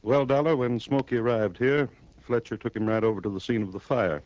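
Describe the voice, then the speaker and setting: A man's voice: mid-range voice, fairly even intonation, fairly quick, a little mellow, dry recording, lightly acted. An actor playing a stern, cold-blooded male judge in a 1950s radio drama, speaking formally and with clipped authority. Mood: stern, explaining. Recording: dull, dry vintage radio recording.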